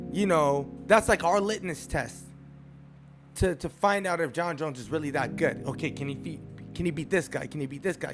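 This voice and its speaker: A man giving a casual, animated opinion vlog and repeating phrases for emphasis, with music playing behind him. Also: on the high side. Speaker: sports commentary YouTuber.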